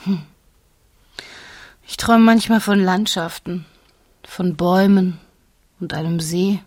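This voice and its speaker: A woman's voice: fairly low pitch, takes it slowly, darkish tone. A woman playing a wistful German expatriate, musing in short broken phrases in a naturalistic radio drama.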